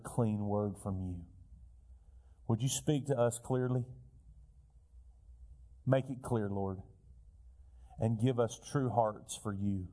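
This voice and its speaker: A male pastor preaching a sermon while fighting a cold, his voice a little raspy, building earnest lists of repeated phrases. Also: fairly low pitch, warm tone. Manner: earnest preaching, repetitive lists, rising emphasis. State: has a cold, slightly raspy.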